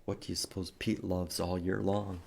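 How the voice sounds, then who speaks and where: A man hosting a children's storytime, reading a picture book and teaching letters in simple, expressive language with questions for young listeners. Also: deep voice, normal speed, creaky, a little hiss or hum. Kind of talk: children's storytime read-aloud and letter lesson.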